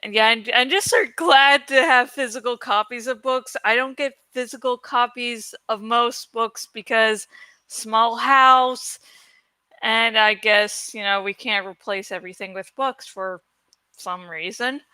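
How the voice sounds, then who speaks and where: A woman's voice: on the high side, unhurried, sharp tone, clean tone, no room sound. A woman hosting a book reading channel, talking in a casual, rambling chat style.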